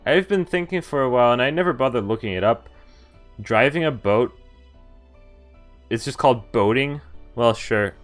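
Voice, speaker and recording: A man's voice: mid-range voice, expressive, moderate pace, pauses often, soft background music. A man giving casual, chatty livestream commentary on a visual novel, breaking off into asides. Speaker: game streamer.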